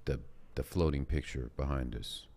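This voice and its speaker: A man's voice: rumbling low, moderate pace, creaky, a little mellow. A man co-hosting a casual music livestream from a studio, laid-back and repeating himself while he scans the chat. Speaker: co-host. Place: studio with red curtains. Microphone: studio mic on a boom arm.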